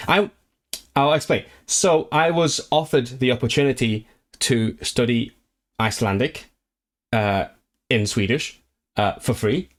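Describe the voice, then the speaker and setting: A man streaming casually about learning languages, talking in a rambling way with many restarts and fillers, eager and enthusiastic about the subject. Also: mid-range voice. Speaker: language livestreamer. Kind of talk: casual livestream chat, reading viewer comments.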